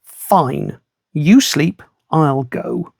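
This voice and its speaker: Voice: mid-range voice, drawn-out words, creaky, a little mellow, breathy edge, no echo, clean studio sound, heavily acted. A storybook reader voicing an anxious young boy who talks earnestly to a cat. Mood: resigned.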